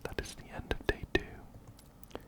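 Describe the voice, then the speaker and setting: Male ASMR game host, hushed whisper throughout, unhurried delivery, soft repeated phrases, close ear-to-ear miking. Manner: gentle, repeats phrases softly. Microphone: close binaural microphone, ear to ear.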